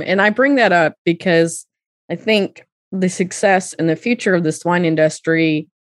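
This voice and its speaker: Lower-voiced woman hosting an interview podcast. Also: no echo, clean studio sound. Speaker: podcast host. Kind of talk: conversational interviewing.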